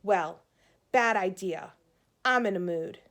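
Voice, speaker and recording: A woman's voice: medium pitch, slow pace, a bit bright. A bossy young girl from a children's storybook, acted out by a woman reading aloud. She is moody and exasperated with everyone, snapping out her words with dramatic emphasis and taking her time over them. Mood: grumpy, sarcastic.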